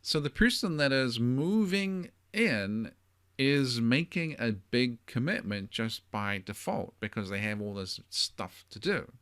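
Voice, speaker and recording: A man's voice: medium pitch, lively intonation, a little slow, a bit bright. A middle-aged man with a New Zealand accent, hosting a podcast and giving relationship advice in a thoughtful, conversational solo monologue. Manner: thoughtful, conversational, with frequent hedging fillers.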